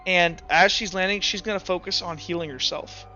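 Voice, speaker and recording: A man's voice: sits high, a little fast, bright, forceful tone. A man running a tabletop roleplaying game as game master, explaining rules and scenes in a casual, rambling way with restarts. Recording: dull lo-fi voice with music behind.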